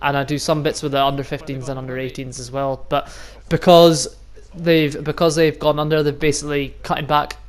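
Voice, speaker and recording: A young Scottish man from the Highlands in his twenties, talking casually to his viewers. He rambles, swears freely and laughs often. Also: flat delivery, fairly quick, few pauses, clear, resonant tone. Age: 20s. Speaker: vlogger and youth football coach. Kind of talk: casual monologue to camera.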